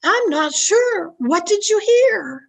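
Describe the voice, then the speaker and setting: A woman's voice: very high voice, animated, takes it slowly, vocal fry, heavily acted, poor audio. A woman playing a timid, sympathetic housemaid, fluttery and hesitant, in old-time radio comedy style.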